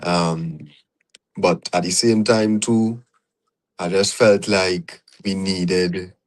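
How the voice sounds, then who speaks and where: A man's voice: deep voice, fairly even intonation, drawn-out words, low-quality recording. A young man with a Trinidadian accent, a podcast guest reflecting on his career in a casual interview, often adding "you know".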